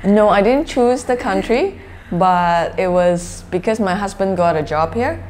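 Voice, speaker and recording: A woman in her forties from Malaysia with a Malaysian accent, answering interview questions conversationally in a halting, explanatory way. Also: mid-range voice, a little mellow. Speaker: interview guest, social enterprise founder. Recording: clearly noisy background ambience.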